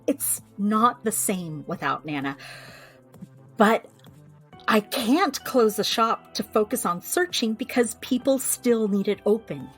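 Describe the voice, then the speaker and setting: A woman's voice: mid-range voice, expressive, slow pace, soft background music. An actress playing a chatty, wry young American woman who runs a magical family clinic, in an audio drama. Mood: reflective, fond. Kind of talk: audio drama, recording a personal log.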